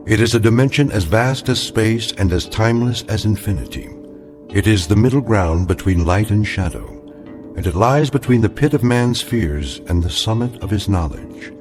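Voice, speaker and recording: A man playing a solemn, mysterious host of eerie tales, narrating in a measured, portentous way. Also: fairly low pitch, lively intonation, music in the background, natural voice. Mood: solemn, ominous. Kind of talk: scripted anthology drama introduction.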